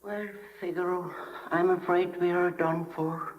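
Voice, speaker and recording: Frail, high-voiced kindly old father. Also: flat delivery, measured pace, soft background music. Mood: despairing. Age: older. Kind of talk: 1930s radio drama acting. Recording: dull, echoey distant 1939 radio broadcast.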